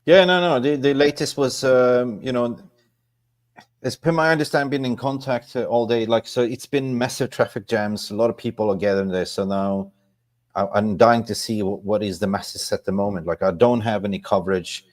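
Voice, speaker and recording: A man's voice: medium pitch, fairly even intonation, normal speed. A man co-hosting a live football fan stream in loose, casual chat.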